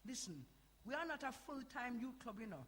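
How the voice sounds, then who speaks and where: An actress playing a firm, pragmatic community centre manager in a stage play, insistent and admonishing. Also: female voice, a little high, animated, fairly quick, a bit bright. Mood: firm, worried. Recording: echoey live hall, faint noise.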